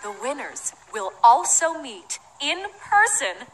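A woman playing a brisk, formal competition official and announcer in a video game. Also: high voice, big pitch swings, fairly bright tone, soft background music, heavily acted, low-quality recording. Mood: announcing.

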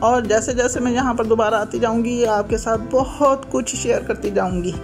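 A woman's voice: on the high side, fairly even intonation, moderate pace. A woman from Pakistan, narrating a friendly, chatty voice-over tour of a shopping mall for her vlog. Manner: friendly, chatty, guiding the viewer along. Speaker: vlogger. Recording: voice-over with loud background music.

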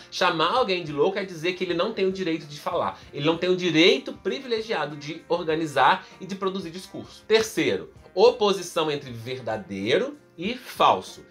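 High-voiced Brazilian man teaching philosophy. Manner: expressive, explains step by step with examples. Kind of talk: explanatory lecture to camera. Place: indoor room in front of bookshelves. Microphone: camera close up, facing the lens.

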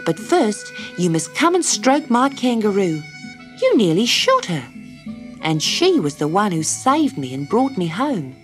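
A woman's voice: medium pitch, very animated, measured pace, faint music behind, pitched above the performer's own voice. A woman voicing an earnest little girl in a storybook.

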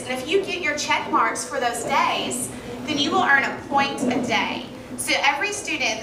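A young woman teacher speaking into a handheld mic at a school assembly, explaining a reading program and asking the children questions in an upbeat way. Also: high voice. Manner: upbeat, addresses kids with questions. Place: large school gym in front of students. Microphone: handheld mic, recorded by far camera. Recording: echoey gym, from far off, noisy.

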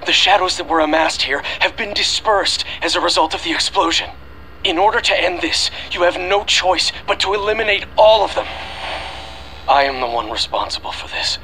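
Desperate, grave scientist, bright forceful voice. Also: male voice, high-pitched, expressive, a little hiss or hum, heavily acted, low-quality recording. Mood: desperate warning, then remorseful. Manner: grave, pleading, deliberate. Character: desperate, remorseful scientist father recording a last message. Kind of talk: anime game voice acting.

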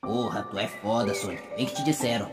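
A man's voice: on the low side, a little fast, loud music behind the voice, close to an everyday voice. A Brazilian man voicing an exasperated, sarcastic blue hedgehog hero, whiny and sweary, in a parody game dub.

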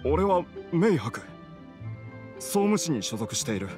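Stiff, halting, pedantic man. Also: fairly high pitch, very animated. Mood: stiff, awkward. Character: awkward, meticulous, literal-minded male civil servant. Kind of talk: Japanese video game voice acting. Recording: music behind the voice.

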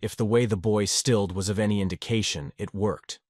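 Brief: male podfic narrator, low voice, steady measured read, dry close sound. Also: no echo. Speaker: audiobook narrator. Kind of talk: fanfiction audiobook narration.

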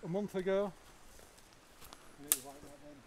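A man's voice: high voice, normal speed, a little hiss or hum. Dry-humoured man by a woodland river. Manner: dry mock-formal humour. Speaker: hiking companion. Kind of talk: casual banter on a hike. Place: outdoors by a river in woods. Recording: loud guitar music behind.

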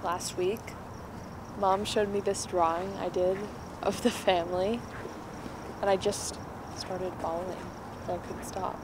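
A young woman playing a soft, questioning girl in a short film, recorded outdoors at night. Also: mid-range voice, level tone, a little slow, pauses often, background noise, lightly acted.

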